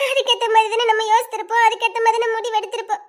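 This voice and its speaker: A distraught teenage village girl in a cartoon melodrama. The voice is extremely high, almost childlike, and plaintive. She speaks emotionally, with a southern Tamil Nadu accent. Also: level tone, very fast. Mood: despairing, tearful. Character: distraught seventeen-year-old South Indian village girl, dramatic.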